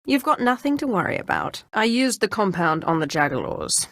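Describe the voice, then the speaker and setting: A woman's voice: mid-range voice, sing-song, moderate pace, fairly bright tone, no echo, clean studio sound. A woman playing a ruthless, haughty Sith inquisitor in a video game, commanding and menacing, with a mocking edge. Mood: dry, sardonic. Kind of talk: scripted video game character lines.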